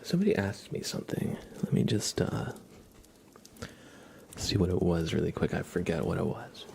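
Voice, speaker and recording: A man chatting casually on an ASMR livestream, soft-spoken and halting, often slipping into a whisper. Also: low voice, very animated, gravelly, background noise.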